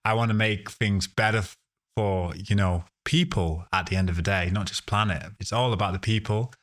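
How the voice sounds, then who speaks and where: A man from Ghana and the UK, answering conversationally as a podcast guest, with halting restarts. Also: on the low side, fairly even intonation, fairly bright tone. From Ghana, later the UK. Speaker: podcast guest, sustainability professional.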